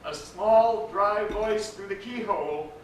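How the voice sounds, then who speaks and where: A man giving a dramatic live reading of a story, expressive and theatrical, lingering over his words. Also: sits high, slow. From United States. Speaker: actor reading a story aloud. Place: event at a ranch, roomy space. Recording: echoey distant live recording with background noise.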